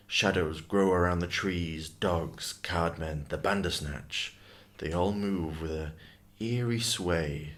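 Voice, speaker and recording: A man giving dramatic, scripted story narration in a solemn, ominous manner. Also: sits low.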